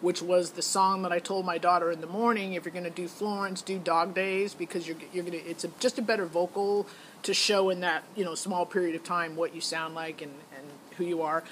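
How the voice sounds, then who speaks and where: A woman's voice: on the low side, steady tone, fairly quick, low-quality recording. A middle-aged woman telling a personal story in a casual, candid, opinionated way. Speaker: parent recounting a child's audition. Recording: noticeable background noise.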